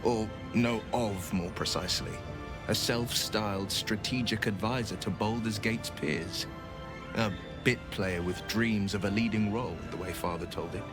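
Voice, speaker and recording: An actor playing an earnest, solemn young nobleman adventurer bound by a devil's pact, telling things in a measured way for a video game. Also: on the low side, over music, lightly acted. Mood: grave. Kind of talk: video game dialogue acting.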